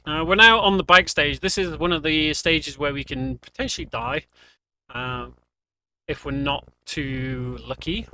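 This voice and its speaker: A man's voice: on the high side, animated, a little slow, sharp tone, slight background noise, rough recording. A man giving casual commentary on a video game walkthrough as he plays. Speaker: speedrunner commentator. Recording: game music under the voice.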